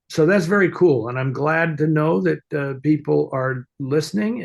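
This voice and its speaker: A man hosting a casual, unscripted talk show, speaking in a halting, discursive way as he searches for his words. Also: a little high, creaky. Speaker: show host, film expert answering fan questions. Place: at home, upstairs room.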